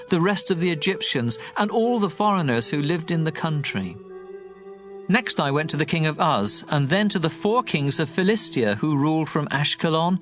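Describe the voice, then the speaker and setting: A man voicing grave prophet Jeremiah, slightly high voice. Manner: grave, measured reading of warnings. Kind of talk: scripture audio drama narration. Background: soft music.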